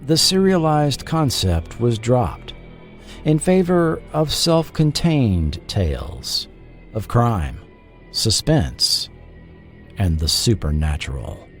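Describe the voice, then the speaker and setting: A man reading a scripted documentary narration as host, with measured, dramatic phrasing. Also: medium pitch, big pitch swings, faint music behind. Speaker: host and narrator of a history show.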